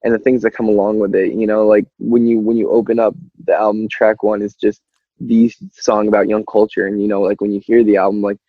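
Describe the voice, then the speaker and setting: A man's voice: lowish voice, flat delivery, fairly quick, dry recording. A young man chatting casually as a musician in an interview, rambling informally with lots of "like", on a slightly muffled recording. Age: young adult.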